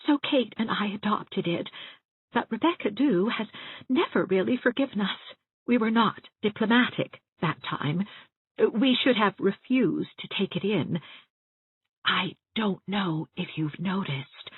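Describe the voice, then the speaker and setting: A woman voicing a gentle, timid, chatty widow in her sixties, rambling and confiding in a genteel way, for an audiobook. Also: medium pitch, expressive, a little slow, slightly rough, narrow, tinny audio, full character voice. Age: 60s. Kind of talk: audiobook character acting. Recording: roomy, thin band-limited sound.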